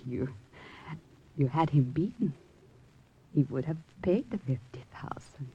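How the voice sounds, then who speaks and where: An actress playing an earnest, idealistic young sweetheart in an old radio drama, pleading in a breathy voice. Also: female voice, low voice, animated, unhurried, lo-fi sound, a little hiss or hum. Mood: hushed, moved. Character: earnest, idealistic woman, gambler's loyal sweetheart. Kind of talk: 1950s radio drama acting.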